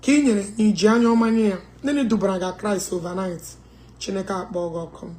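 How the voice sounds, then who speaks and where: A woman's voice: medium pitch, steady tone, quick pace, mellow, slight background noise. Scolding Nigerian woman live host. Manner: scolding, mixing English with Igbo. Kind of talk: live call-in chat.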